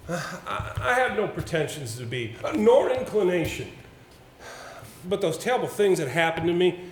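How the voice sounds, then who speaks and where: A man plays a troubled, earnest gentleman unused to performing, in a stage play. His fairly high voice is formal and hesitant, with lively swings in pitch. The sound is roomy, with faint noise. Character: earnest, troubled gentleman, an untrained nervous reader. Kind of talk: amateur stage play acting. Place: indoor theatre stage.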